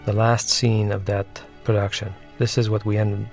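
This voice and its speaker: A man with a low, creaky voice talks through a film as its director. His commentary is informal and reflective, with pauses as he searches for words. Music plays behind him. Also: little pitch movement, darkish tone.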